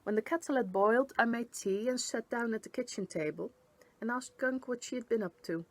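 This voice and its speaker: A woman narrating a first-person storybook tale in an expressive read-aloud manner. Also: a little high, fairly quick, no echo. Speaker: story reader.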